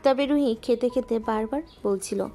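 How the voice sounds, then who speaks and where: Gentle mellow female story narrator. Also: on the high side, moderate pace.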